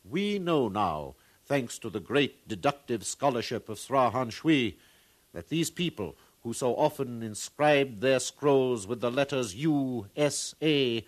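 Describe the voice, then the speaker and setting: Proud scholarly curator, medium pitch, courtly, formal, professorial, 1950s radio play. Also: male voice, animated, slow. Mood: scholarly, pleased.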